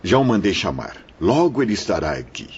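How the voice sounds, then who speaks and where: A grave, fatherly priest of an audio drama, preaching kindly and in measured phrases. His voice is deep and highly animated in its melody. The sound is muffled, with clear noise behind it. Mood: fatherly, pleased. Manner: paternal, measured, sermonizing. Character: kindly, grave priest guiding troubled souls.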